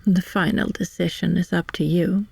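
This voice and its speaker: A gentle sorceress, played by a woman, murmurs in a very breathy half-whisper with a mellow, soft-edged tone. She is calm and soothing, leaving long pauses as in an ASMR hypnosis roleplay. The sound is dry and close. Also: lowish voice, no echo, heavily acted. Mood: calm, explanatory. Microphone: close, dry microphone.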